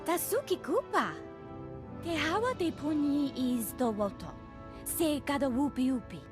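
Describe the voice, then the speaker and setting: A woman's voice: high voice, lively intonation, unhurried, music in the background. An actress voicing a female alien who speaks an invented tongue in a video game. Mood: insistent.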